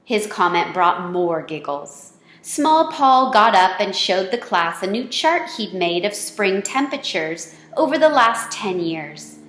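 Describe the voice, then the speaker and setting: A woman's voice: medium pitch, big pitch swings, a little slow, fairly bright tone. A woman reading a children's storybook aloud as the storyteller. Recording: echoey, roomy sound.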